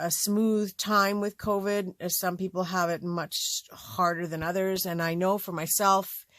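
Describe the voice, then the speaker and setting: A middle-aged woman from Canada talks casually to camera from her home craft studio. Her voice sits low and is still slightly raspy. She rambles, with plenty of fillers and restarts, and the recording is dry and close. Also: fairly bright tone, dry recording. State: slightly raspy voice after illness. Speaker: crafting channel host.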